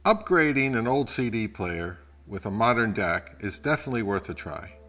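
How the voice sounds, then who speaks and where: A man's voice: on the low side, animated, normal speed, fairly bright tone. A middle-aged man, narrating a product review of audio gear.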